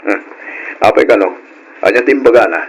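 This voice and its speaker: An actor playing a hardworking, respectful farmer in a radio drama, with an Ilocano accent. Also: male voice, fairly low pitch, moderate pace, breathy edge, poor audio. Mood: casual. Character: hardworking, respectful grown farmer, easygoing son and husband. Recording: old radio drama, faint noise.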